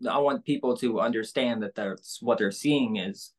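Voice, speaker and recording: A woman singer with a low speaking voice, talking candidly and loosely with many fillers in an interview. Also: moderate pace, darkish tone. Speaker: singer-songwriter guest. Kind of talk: casual interview conversation.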